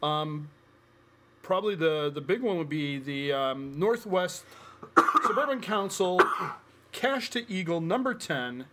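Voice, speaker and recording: A man's voice: fairly high pitch, animated, takes it slowly, very noisy. Chatty upbeat man co-hosting a podcast. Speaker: podcast co-host. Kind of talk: casual chat.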